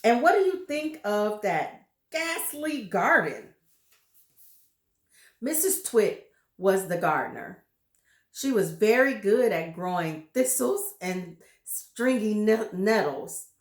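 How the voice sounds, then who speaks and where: A woman's voice: medium pitch, lively intonation, takes it slowly, pauses often, echoey room. A woman reading a children's book aloud expressively, pointing out pictures to her listeners. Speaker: storybook reader.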